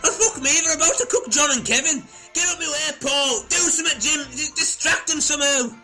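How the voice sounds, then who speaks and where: A man playing a pompous, excitable celebrity cook in a comedy audio drama, sweary and easily panicked. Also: very high-pitched, brisk, faint music behind, full character voice.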